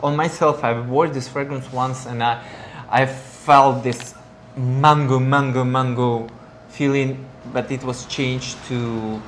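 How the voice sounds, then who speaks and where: A man in his thirties co-hosting a perfume review, talking casually and descriptively on a lapel mic in a bright studio. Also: mid-range voice, fairly even intonation, slow, a little hiss or hum. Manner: enthusiastic, descriptive, some non-native phrasing. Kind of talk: casual chat. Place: bright indoor studio with flower wall.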